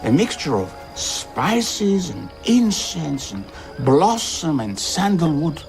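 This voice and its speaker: An actor playing an idealistic older traveller and philosopher in an audio drama, speaking in a measured, reflective way. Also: male voice, a little high, big pitch swings, soft background music, exaggerated voice. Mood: wistful. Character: worldly old male traveller-philosopher, idealistic and earnest.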